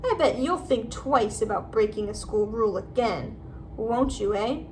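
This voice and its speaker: A woman's voice: on the high side, animated, a little slow, a little mellow, a little hiss or hum, low-quality recording. A woman voicing a nasty, gleeful old male school caretaker in a storybook. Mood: gloating menace. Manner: leering, menacing.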